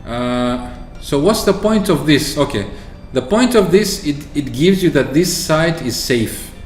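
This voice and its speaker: A man from Oman chatting casually through a software walkthrough, explaining with fillers and restarts. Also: on the high side, lively intonation, measured pace, soft background music. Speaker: tech video host. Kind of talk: screen-recorded software walkthrough. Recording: echoey room with faint ambience.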